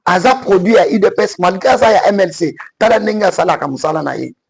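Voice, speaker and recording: A man's voice: sits high, quick pace, creaky, slightly rough. A Congolese man with a Congolese French accent, giving emphatic political commentary in French and Lingala. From Congo (DRC).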